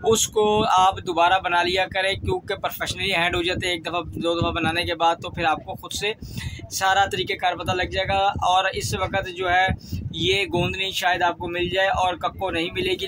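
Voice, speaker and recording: Casting: male Pakistani host, high voice, casual how-to delivery, drives points home by repetition, some noise behind. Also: flat delivery, a little fast. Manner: repeats phrases for emphasis. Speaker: fishing channel host. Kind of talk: casual instructional talk to viewers. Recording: clear background noise.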